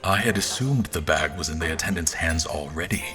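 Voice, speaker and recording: A haughty royal officer, acted by a man, narrates in a low voice. His delivery is dry, formal and disdainful, with music clearly playing underneath. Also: fairly quick. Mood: observant. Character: haughty, observant male royal officer recounting events. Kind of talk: scripted first-person narration.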